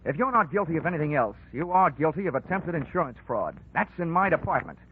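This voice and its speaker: An actor playing a tough, wisecracking insurance investigator, wry and sardonic, in a 1940s radio detective drama. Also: male voice, on the high side, very animated, fast, slight background noise. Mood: tough questioning. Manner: wry, hard-boiled, sardonic. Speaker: lead investigator and narrator. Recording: tinny 1940s radio recording.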